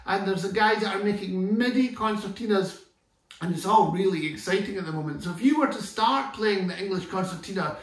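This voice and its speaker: Scottish man, high voice, chatty teacher, distant mic, roomy living room. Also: a little fast. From Scotland. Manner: chatty, restarts sentences, enthusiastic. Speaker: concertina teacher. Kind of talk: relaxed tutorial explanation. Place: living room, sitting on sofa. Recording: echoey room from far off.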